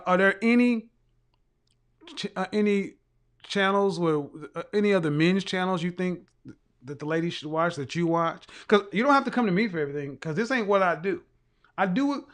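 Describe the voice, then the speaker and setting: A man hosting a casual live-stream chat, blunt and rambling, talking. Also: a little high. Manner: blunt, rambling, with frequent restarts and profanity. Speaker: livestream host and image consultant.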